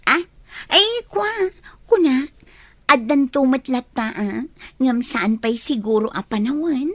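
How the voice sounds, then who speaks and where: A woman playing a shy young office worker who is quietly smitten with a coworker, in an audio drama. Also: sits high, expressive, takes it slowly, exaggerated voice. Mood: flustered. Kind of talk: amateur audio drama acting.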